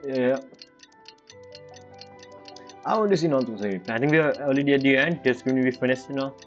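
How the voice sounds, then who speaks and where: A man's voice: medium pitch, a little fast. A man streaming a game playthrough, giving casual, muttered reactions with loose, informal grammar. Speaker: gaming streamer. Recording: muffled mic with game music.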